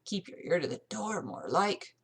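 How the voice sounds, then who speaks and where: A woman acts out a grumbling old English manservant in a storybook mystery. The voice is raspy and gruff, with plain servant talk and a put-on British accent. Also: fairly low pitch, very animated, normal speed, a bit bright, exaggerated voice.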